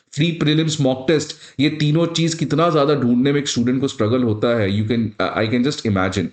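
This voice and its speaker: A man's voice rings with noticeable room echo. He has an average pitch and an Indian accent. He breaks down current affairs like a teacher, speaking straight to students and slipping in English phrases. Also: a little mellow. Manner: didactic, addresses viewers directly. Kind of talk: explanatory news analysis for students, mixing in English phrases. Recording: roomy, echoing sound.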